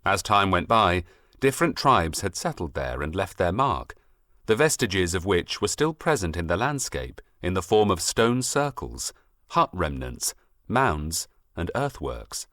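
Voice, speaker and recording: A man narrating a scripted storybook in an expressive, measured, literary storytelling manner. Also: low voice, no room sound, clean studio sound. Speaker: audiobook narrator.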